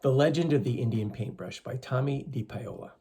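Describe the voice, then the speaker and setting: A reader with a slightly husky voice, reading a picture book aloud to young children and explaining things as they go. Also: medium pitch, fairly quick, dark tone. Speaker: storybook reader for schoolchildren.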